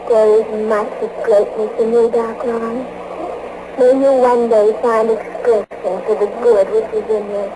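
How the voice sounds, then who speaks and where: A woman's voice: a little high, little pitch movement, a little slow, lightly acted. An actress playing a solemn, tender mystic woman with formal, earnest phrasing in a 1940s radio serial. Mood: tender farewell. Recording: noisy old radio serial recording.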